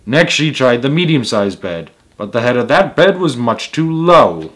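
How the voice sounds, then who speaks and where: A man's voice: medium pitch, sing-song, normal speed, a bit bright. A man reading a children's story aloud as its host, with emphatic delivery and casual asides.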